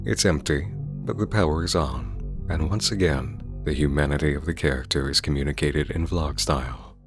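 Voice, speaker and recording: A man delivering scripted video-essay narration in a measured, formal, articulate way. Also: rumbling low. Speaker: host of video essay channel on horror series. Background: music under the voice.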